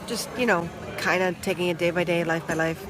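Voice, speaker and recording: A Canadian vlogger talks into a handheld phone at an outdoor flea market stall. The voice sits at a middling pitch and rambles along with frequent restarts, chatting loosely with live viewers. A dense market crowd murmurs behind it. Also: steady tone, a little fast. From Toronto, Canada. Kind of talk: casual chat with live viewers.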